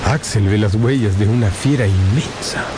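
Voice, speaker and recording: A man's voice: lowish voice, normal speed, background noise. A man narrating a radio drama as a suspenseful storyteller, in short emphatic phrases. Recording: dramatic music behind the voice.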